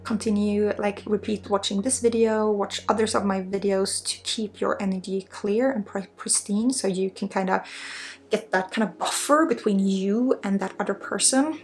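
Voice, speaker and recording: A woman from Sweden with a Swedish accent speaks as a spiritual healer in an intimate ASMR energy healing style, drifting between soft speech and breathy whispers. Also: medium pitch, steady tone, moderate pace.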